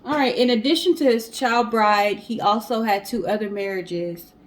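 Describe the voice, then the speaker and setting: A woman's voice: mid-range voice, normal speed. A woman co-hosting a storytime show, telling a story from notes in a casual, conversational style. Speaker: co-host of a storytime guessing-game show.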